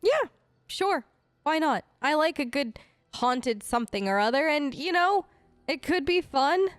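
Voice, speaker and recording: Woman gamer, lively swooping pitch, close dry sound, casual role-play, breezy and wry, faint music. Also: unhurried, no echo. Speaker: gamer voicing her player character. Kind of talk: improvised role-play let's play.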